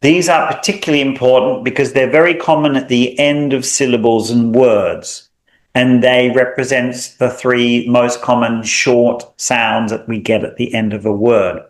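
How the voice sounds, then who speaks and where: A man's voice: medium pitch, fairly even intonation, normal speed. A man with a mild Australian accent teaching a language lesson, explaining patiently, drawing out example sounds, with small hesitations. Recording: echoey room.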